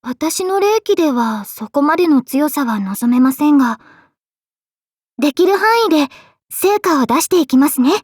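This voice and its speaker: A woman voicing an earnest, polite young mage heroine in a Japanese video game, with a clear, youthful voice. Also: high voice, animated, normal speed, a little mellow, no echo, studio quality. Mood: polite, modest. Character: young girl mage, tomboyish, modest, earnest, later a solemn king. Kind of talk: Japanese game character voice lines.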